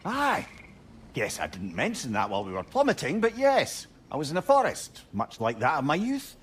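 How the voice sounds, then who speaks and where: A man's voice: on the high side, huge pitch swings, normal speed, husky, exaggerated voice. An actor playing a talkative, wry old male advisor with a Scottish accent in a video game. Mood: wistful, curious. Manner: chatty, wry, colloquial. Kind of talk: video game dramatic acting.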